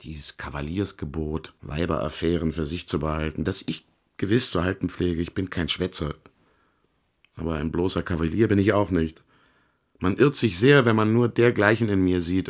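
An actor playing a troubled, self-justifying artist and ladies' man in a radio play, confiding in a halting, theatrical way. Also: low-pitched. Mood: agitated, defensive.

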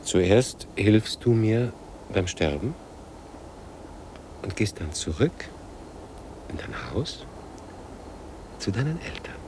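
A man acting a weary, despairing figure in a radio drama, speaking in terse, halting phrases. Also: on the low side, big pitch swings, background noise. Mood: resigned, sombre. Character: weary, despairing man who wants to die.

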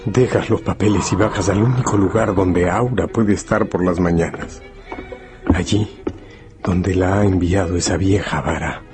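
A man's voice: sits low, moderate pace, close to an everyday voice. A man narrating a gothic radio drama in a solemn, suspenseful, literary style. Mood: urgent, suspenseful. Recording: radio play with music underneath.

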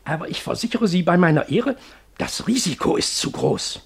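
Radio play role: unhelpful, self-satisfied doctor, gravelly and husky, blandly professional and officious. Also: male voice, high-pitched, sing-song, heavily acted. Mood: officious, advising. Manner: deliberate, complacent, professional. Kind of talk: German radio drama acting.

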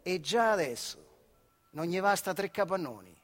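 A man tells a story as a theatrical monologue, in a rather high voice with a central Italian accent from the Marche. He is colloquial and emphatic, addressing the listener directly, with faint music behind him. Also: lively intonation, moderate pace, a bit bright. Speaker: stage actor and storyteller.

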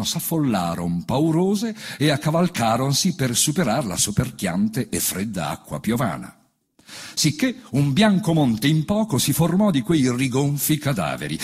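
A man with a rough, husky voice reciting epic poetry in a grave, theatrical, declamatory way. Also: mid-range voice. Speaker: reciting performer. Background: faint band music.

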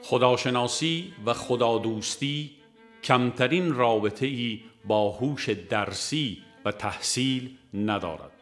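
A man narrating a religious audiobook in a formal, measured way with deliberate pauses. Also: lowish voice, fairly bright tone. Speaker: audiobook reader.

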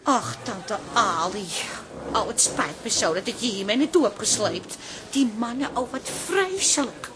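Radio drama: chatty, teasing working-class wife, high and very lively, affectionate exclamations. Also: female voice, a little slow, background noise. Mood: dismayed, mocking. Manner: colloquial, exclamatory, affectionate pet names. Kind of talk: Dutch radio drama acting.

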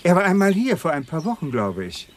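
A man's voice: on the high side, huge pitch swings, fairly quick. An actor playing a curt elderly man in a wheelchair, brusque and dismissive, in a German radio crime drama. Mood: reserved. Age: older. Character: curt elderly gentleman in a wheelchair, sanatorium resident.

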